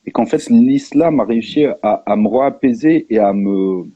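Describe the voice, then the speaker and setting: Husky man on muffled, echoey stream. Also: medium pitch, expressive, moderate pace, mellow. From France. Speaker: live discussion participant. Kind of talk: casual personal testimony.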